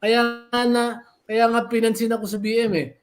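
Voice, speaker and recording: An older man with a Filipino accent telling stories in a casual chat as a radio veteran, animated and often repeating his phrases. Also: very high-pitched, a little fast, loud music behind the voice. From Philippines. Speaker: guest, veteran radio DJ and record store owner.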